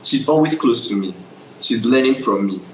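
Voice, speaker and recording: A man's voice: mid-range voice, moderate pace, slight background noise. Nigerian man telling his story, echoey. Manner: animated storytelling, often asks "do you understand?". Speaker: guest photographer. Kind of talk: casual interview.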